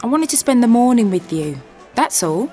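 A woman's voice: on the high side, sing-song, normal speed, dark tone, faint music behind. An actress playing a caring older sister who teases and hurries her younger brother along, in an audio drama. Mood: tender. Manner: coaxing, bossy then tender.

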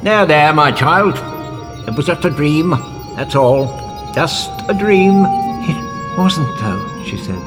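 A man acting in an audio drama as an earnest, troubled time traveller, telling his story in a measured, weighty way. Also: on the high side, expressive. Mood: haunted, grave. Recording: music under dramatic dialogue.

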